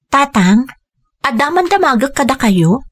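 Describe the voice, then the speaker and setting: A woman's voice: high-pitched, sing-song, a little slow, breathy edge, heavily acted. A woman playing an earnest young student daughter, hurt on her father's behalf, in an Ilocano-accented Filipino radio drama style. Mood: troubled, hesitant. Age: young adult.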